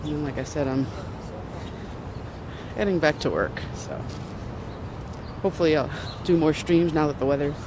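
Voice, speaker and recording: A man's voice: fairly high pitch, moderate pace, long pauses. A man chatting casually to viewers on a walking livestream by the water in a city park. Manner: chatty, relaxed, talks to viewers while walking. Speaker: livestream host. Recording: phone stream with loud street noise. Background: city traffic noise.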